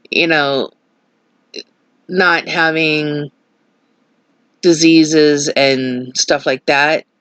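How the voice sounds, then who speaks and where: A woman's voice: sits low, a bit bright, no room sound, rough recording. Stuffy-nosed woman chatting, dry sound. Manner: rambling, opinionated asides. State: stuffy nose, slightly nasal. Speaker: vlogger. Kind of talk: casual chat.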